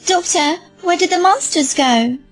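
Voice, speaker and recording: Very high-voiced frightened little girl. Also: measured pace, dry recording. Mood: anxious pleading. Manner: childlike, pleading. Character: frightened, pleading young girl child. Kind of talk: audio drama acting. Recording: music behind.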